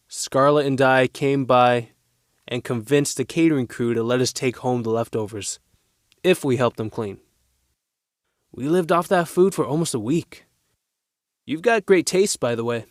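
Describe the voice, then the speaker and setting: A man acts out a working-class teenage boy with a loyal streak and a load of guilt. He talks earnestly and firmly, in a mid-pitched voice. The sound is dry, as in a studio audio drama. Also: moderate pace, no echo, close to an everyday voice. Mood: pointed.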